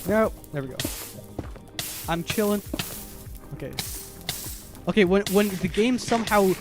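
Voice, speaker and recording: A man with a very high voice giving casual, chatty live stream commentary as he plays a video game. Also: measured pace, over music. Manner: chatty, self-narrating while playing, easily animated.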